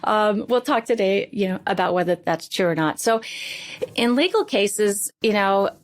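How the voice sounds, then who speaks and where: A woman's voice: mid-range voice, animated, normal speed. A woman co-hosting a podcast, introducing and talking through the discussion in a conversational way. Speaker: podcast co-host.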